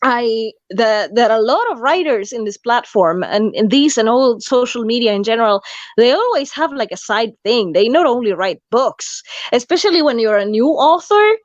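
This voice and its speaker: A woman's voice: on the high side, expressive, normal speed, no echo, muffled recording. A woman hosting a casual writers' chat on a video call, chatty and emphatic, laughing often. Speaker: host, writer.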